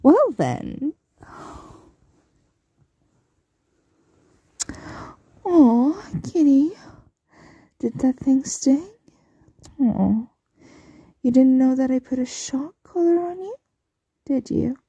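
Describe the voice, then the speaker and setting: Woman acting a dark, breathy, possessive yandere mistress. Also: sits high, lively intonation, no room sound, lo-fi sound, full character voice. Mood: mock sympathy. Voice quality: breathy, often dropping to a whisper. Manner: teasing, condescending, drawn-out cooing. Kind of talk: ASMR roleplay.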